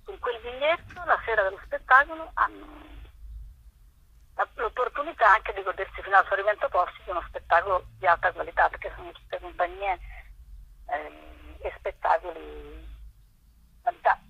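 A woman's voice: medium pitch, normal speed, gravelly, breathy edge. A woman speaking as a guest organizer in a radio phone interview, over a muffled line.

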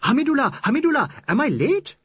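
A man voicing an excitable, playful young male doctor, loud and quick in an audiobook reading. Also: husky, no room sound, heavily acted. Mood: eager. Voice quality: loud, high and animated.

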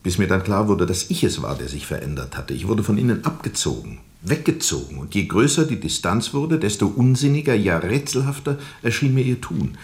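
An actor playing a brooding steamboat captain in a radio play, telling his story in a reflective, deliberate, formal and disillusioned way. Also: on the low side, very animated, darkish tone. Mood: troubled, introspective. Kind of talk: radio play narration, literary monologue.

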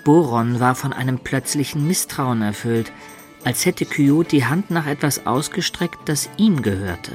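A man's voice: mid-range voice, expressive, measured pace, soft background music, close to an everyday voice. An actor playing a man who recounts his past adventures in a radio drama. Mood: reflective. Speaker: narrator and lead character.

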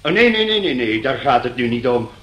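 A man's voice: medium pitch, very animated, fairly quick, fairly bright tone. A fussy busybody of a neighbour in a radio play, heard in a roomy space. His voice swings up and down as he officiously explains himself, flustered and apologetic. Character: fussy, busybody male neighbour phoning the police.

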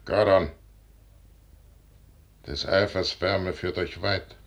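An actor playing a proud, weary commander prince with a declamatory, commanding delivery in a classical radio drama. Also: male voice, very deep voice, a bit bright, heavily acted. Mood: grave, resigned. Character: proud, weary aging male commander and prince, superstitious yet defiant. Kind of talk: classical German stage drama, radio play acting.

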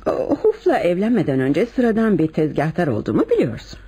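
A husky, seductive wife. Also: female voice, on the low side, huge pitch swings, a little fast, warm tone, full character voice. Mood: plaintive. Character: married woman, soft, seductive, seemingly virtuous secret lover. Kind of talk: Turkish radio theatre acting.

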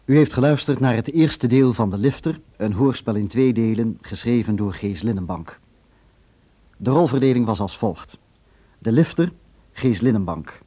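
A man making a formal, measured scripted announcement as a radio announcer. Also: mid-range voice, expressive, mellow, breathy edge.

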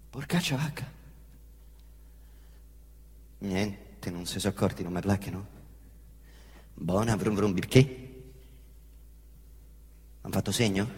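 A middle-aged man performing a theatrical monologue on stage, swinging between hushed mutters and loud excited outbursts while mimicking engine noises. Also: mid-range voice, long reverb tail. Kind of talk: theatrical monologue in Roman dialect.

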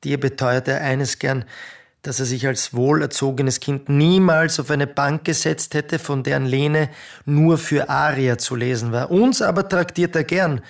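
An actor playing a sombre, ironic nephew in a radio play, speaking in measured literary phrases with a creaky voice. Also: male voice, on the high side, steady tone. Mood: sombre irony. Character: sombre, ironic nephew recalling his family's past.

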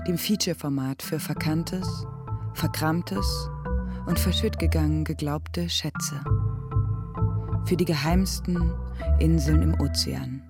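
Woman announcer, lowish voice, deliberate poetic jingle delivery, prominent music bed. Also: fairly even intonation, creaky, full character voice. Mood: poetic, inviting. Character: female station voice announcing an arts feature format. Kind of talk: scripted show jingle announcement. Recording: voice over loud music.

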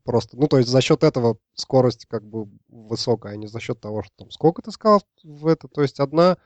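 A man in a casual technical podcast chat, speaking informally and haltingly. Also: medium pitch, animated, darkish tone, no room sound, dull audio. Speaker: podcast discussion participant.

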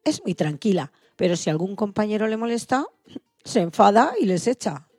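A woman's voice: mid-range voice, lively intonation, moderate pace, darkish tone, no room sound, studio quality, faint music behind. A woman narrating a scripted children's story. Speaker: co-host of an animal-stories programme for all ages.

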